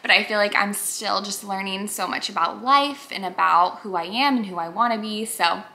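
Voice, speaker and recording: A woman's voice: medium pitch, normal speed, creaky, sharp tone. A woman in her twenties chatting casually to camera in a get-to-know-me vlog, bubbly and full of filler words. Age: 20s. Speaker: YouTuber.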